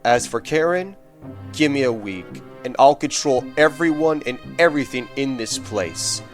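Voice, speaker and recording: A man's voice: mid-range voice, lively intonation, normal speed, faint music behind, close to an everyday voice. A man voicing a scheming secret agent posing as a barista, overly formal and smug, for a fan comic dub.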